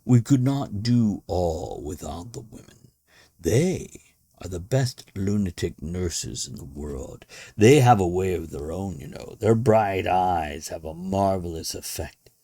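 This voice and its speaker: A man voicing a genial, pompous older gentleman who runs a madhouse, in a dramatic storybook reading with theatrical, self-assured delivery. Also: low voice, expressive, takes it slowly, no room sound, clean studio sound, exaggerated voice. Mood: proud, assured.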